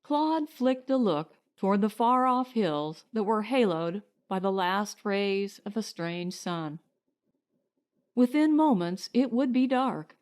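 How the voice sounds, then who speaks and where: A woman narrating a short story as an audiobook, in a measured, deliberate storytelling manner. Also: mid-range voice, animated, darkish tone.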